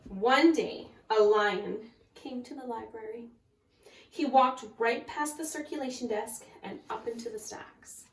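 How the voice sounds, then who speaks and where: Woman, kindergarten teacher, high pitch, reading to children, roomy distant recording. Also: measured pace. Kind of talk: children's lesson and storybook read-aloud. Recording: echoey room, far from mic.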